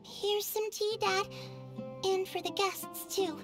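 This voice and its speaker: A woman voicing a shy, sickly young girl in a game, speaking in a soft, hesitant and polite way. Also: very high voice, fairly even intonation, takes it slowly. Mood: gentle. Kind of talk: game voice acting. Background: game music.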